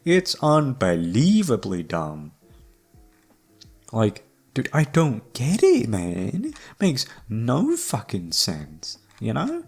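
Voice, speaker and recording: A man's voice: medium pitch, wildly swooping pitch, takes it slowly, slightly rough. A young man rambling casually to his listeners, with sweary, slangy, self-mocking talk. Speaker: YouTube voice actor and creator. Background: background music.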